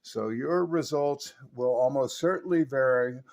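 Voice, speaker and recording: A man's voice: mid-range voice, a little slow, gravelly, a little mellow. A man narrating an instructional demonstration as a radio repair technician.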